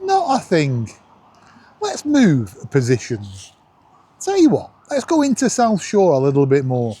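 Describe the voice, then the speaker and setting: Animated Northern English man vlogging, husky voice. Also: fairly high pitch, unhurried, no echo. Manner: jokey, animated, self-deprecating. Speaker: vlog host. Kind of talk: casual vlog chat. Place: outdoors at night on a seafront promenade. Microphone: camera worn around the neck.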